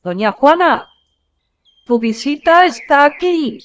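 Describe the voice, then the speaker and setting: A woman playing a brisk female servant who receives visitors in a historical audio drama. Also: sits high, expressive. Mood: brisk, announcing. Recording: dull lo-fi, faint noise.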